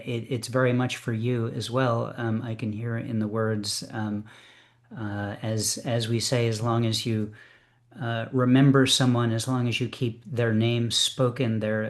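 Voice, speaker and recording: A man hosting an online poetry reading, speaking with many fillers and restarts. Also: medium pitch. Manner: warm, hesitant, many fillers. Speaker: host. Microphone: video call.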